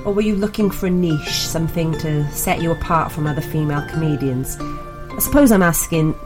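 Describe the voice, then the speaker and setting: A woman hosting a podcast with a very low voice asks measured, prepared questions. Clear music plays beneath her. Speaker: podcast host.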